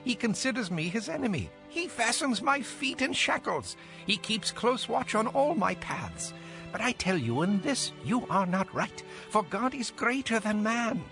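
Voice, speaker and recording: A high, swooping voice of an indignant young man, emphatic and oratorical, as in a Bible audio drama. He lectures his elders with fervor over music. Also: very animated, unhurried, creaky, full character voice. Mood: challenging. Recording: music behind.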